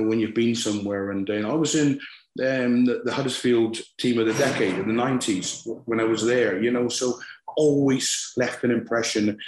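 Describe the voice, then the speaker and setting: A man in his fifties from Scotland with a West Country accent, answering interview questions passionately and emphatically. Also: mid-range voice. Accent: West Country English. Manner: passionate, emphatic, many restarts. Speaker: football manager guest. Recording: roomy echo.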